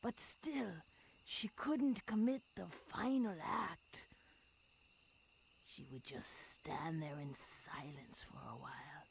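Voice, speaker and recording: Woman acting as mystical female sea spirit, slow dramatic storytelling, creaky, breathy drops, game fan dub. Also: low-pitched, slight background noise, full character voice. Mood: horrified. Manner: theatrical, drawn-out, swinging from whispers to loud swells.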